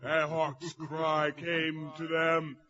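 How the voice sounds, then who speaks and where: A man playing a grandiose storyteller, narrating a dark barbarian legend in a theatrical, drawn-out way. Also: a little high, monotone, highs cut off, a crowd in the background. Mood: eerie, hushed. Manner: theatrical, bombastic, drawn-out delivery. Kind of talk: dramatic fantasy storytelling narration.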